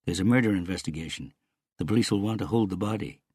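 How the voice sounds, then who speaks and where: A man's voice: low-pitched, quick pace, darkish tone, husky, no echo, clean studio sound, voice pushed up. Man voicing a reserved, uneasy adult daughter.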